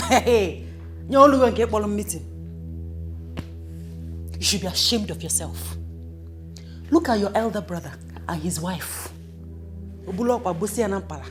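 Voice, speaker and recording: A woman playing a sharp-tongued, defiant wife who scolds and mocks. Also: mid-range voice, natural voice. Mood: scolding. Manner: mocking, scolding.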